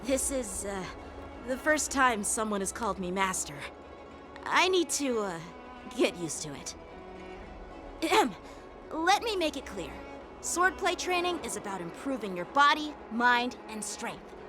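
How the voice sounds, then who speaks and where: A woman's voice: fairly high pitch, sing-song. An actress voicing an earnest, bashful teenage boy swordsman in a game, speaking politely and a little formally. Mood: flustered, earnest. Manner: polite, formal, slightly halting. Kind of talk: game cutscene acting. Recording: prominent music behind the voice.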